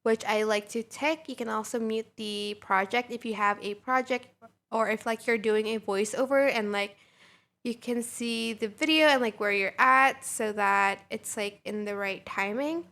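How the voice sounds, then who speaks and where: A woman hosting a casual software tutorial, talking in an informal, chatty way. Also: a little high, moderate pace, gravelly. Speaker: tutorial host.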